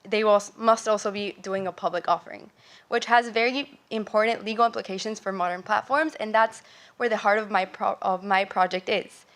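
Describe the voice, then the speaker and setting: A woman's voice: mid-range voice, fairly even intonation, normal speed, fairly bright tone. A young woman from Venezuela giving an earnest student presentation, explaining ideas step by step with occasional fillers and self-corrections. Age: young adult. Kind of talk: academic presentation. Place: lecture hall.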